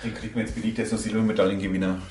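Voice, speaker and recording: A man's voice: on the low side, level tone, rapid-fire, a little mellow, slight background noise. A man with a slight cold, co-hosting a casual chat in an easygoing, self-mocking way. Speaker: podcast co-host. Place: indoor room with a camera.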